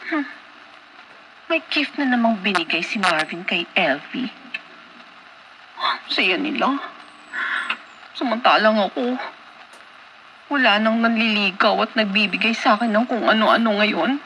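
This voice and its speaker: A woman's voice: mid-range voice, unhurried, pauses often, pushed and bright, a little hiss or hum, low-quality recording. An actress playing a vain, haughty rich heiress in her twenties in a radio drama, conceited and dramatic, with a Filipino accent. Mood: envious, brooding. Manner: conceited, dramatic, code-switching Taglish. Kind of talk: Philippine radio drama acting.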